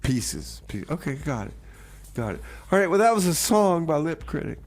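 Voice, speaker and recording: Male radio co-host, high voice. Excitable, stammering, mock-exasperated banter. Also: normal speed. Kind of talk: bantering community radio comedy.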